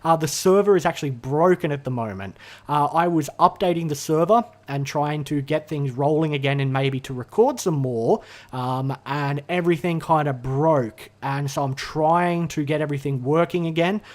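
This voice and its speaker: A young man with a slightly hoarse voice, rambling casually to camera in a gaming channel vlog, with lots of fillers and restarts. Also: fairly high pitch, normal speed. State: slightly hoarse from being sick. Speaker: gaming YouTuber. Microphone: camera mic, talking to camera.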